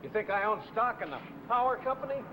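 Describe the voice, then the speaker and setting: An American actor playing a mean, stingy old garage boss who grumbles and accuses, in a 1950 noir film. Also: male voice, high voice, animated, fast. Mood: irritated, accusing. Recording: noisy vintage film soundtrack.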